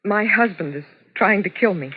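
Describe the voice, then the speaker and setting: Actress playing an anxious dancer, muffled vintage radio. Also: female voice, medium pitch, animated, moderate pace, close to an everyday voice. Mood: anxious, composed. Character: frightened but composed married nightclub dancer. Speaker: radio actress in crime drama. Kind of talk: 1950s radio suspense acting. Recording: muffled, hissy 1950s radio recording.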